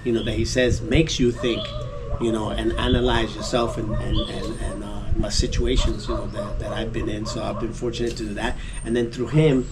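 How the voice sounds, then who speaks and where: A man interviewed as a guest actor and producer, talking haltingly with many fillers and restarts. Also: medium pitch, steady tone. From United States. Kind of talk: casual interview. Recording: noisy location recording.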